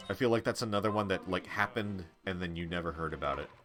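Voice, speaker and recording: A man streaming a game, chatting casually with a stuffy, congested nasal voice and a rambling, relaxed way of talking. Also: sits low, quick pace, soft background music. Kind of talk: casual chat while playing a game on a live stream.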